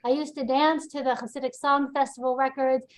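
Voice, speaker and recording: A woman rabbi talks in a lively, chatty way over a video call. Her voice sits a little high. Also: brisk. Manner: lively, chatty, sings snatches of song. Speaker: synagogue rabbi. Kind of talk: webinar personal remarks.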